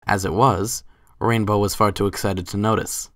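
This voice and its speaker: Low-voiced man narrating a story. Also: fairly even intonation, normal speed, a bit bright, dry recording, clean studio sound. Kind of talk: scripted story narration, dramatic reading.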